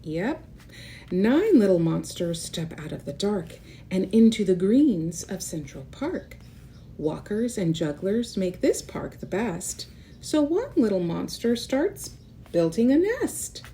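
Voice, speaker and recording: A woman's voice: medium pitch, sing-song, takes it slowly, warm tone, a little hiss or hum. A woman reading a picture book aloud to young children, expressively, with casual asides that explain and point things out. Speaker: children's read-aloud host.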